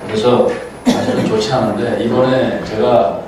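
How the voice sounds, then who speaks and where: An older man gives a relaxed, joking talk as a guest lecturer, teasing himself as he goes. His voice sits at an ordinary pitch and reaches the recording from far off in a very reverberant auditorium. A steady murmur of room noise runs underneath. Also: moderate pace. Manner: chatty, self-deprecating, quotes others in playful voices. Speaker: guest lecturer, counseling professor. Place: large auditorium. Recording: echoey hall, voice from far off.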